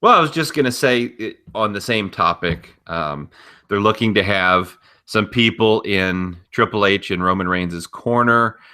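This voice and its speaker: A man with a fairly low, very bright and forceful voice talks through wrestling news as a podcast co-host. His delivery is casual but blunt and opinionated, with profanity thrown in freely. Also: measured pace, clean tone.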